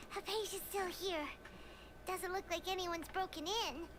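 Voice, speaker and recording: A woman voicing a small, chatty, childlike female companion creature in a video game, with an excitable, chirpy delivery. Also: very high-pitched, slow, noisy background.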